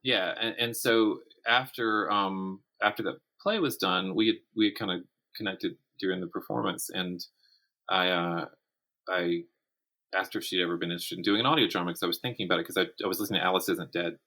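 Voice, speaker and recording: Low-voiced man with a bright edge. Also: a little fast. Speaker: podcast guest, writer and writing teacher. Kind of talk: casual interview chat.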